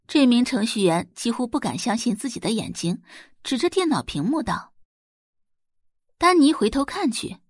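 A woman's voice: medium pitch, no room sound, clean studio sound. A woman narrating a scripted audio drama as a storyteller.

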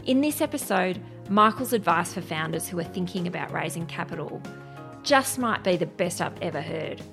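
Woman, low-pitched, gravelly fry, podcast host, polished delivery, some music. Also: expressive, moderate pace. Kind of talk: polished scripted introduction and warm-up interview questions.

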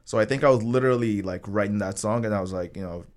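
A man's voice: lowish voice, fast talker. A man from Nigeria, a rapper guest, talking casually and rambling with lots of fillers. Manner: laid-back, rambling, heavy on fillers. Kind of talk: casual podcast conversation.

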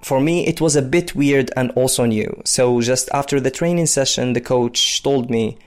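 A man's voice: mid-range voice, moderate pace, soft background music. Congolese man telling his life story. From Democratic Republic of Congo. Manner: conversational, with frequent fillers. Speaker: interviewee, basketball player. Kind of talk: personal storytelling.

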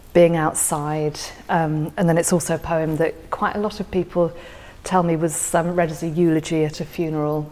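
Low-pitched woman in a reverberant room. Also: a little mellow. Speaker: podcast guest discussing a favourite poem. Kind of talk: reflective conversation about poetry.

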